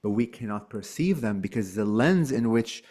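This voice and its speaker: A man in his forties, born in Lebanon, talking as a guest in a casual interview, loose and animated with frequent "like" and "um" fillers. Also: lowish voice, normal speed. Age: 40s.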